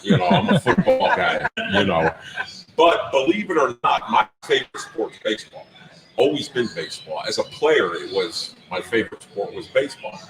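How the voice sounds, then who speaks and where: A middle-aged American man from North Jersey hosts casual sports talk in an animated, emphatic way. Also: on the low side, normal speed, very noisy. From North Jersey, United States. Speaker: sports talk show host. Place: live broadcast from a grill restaurant. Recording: roomy sound with crowd chatter behind.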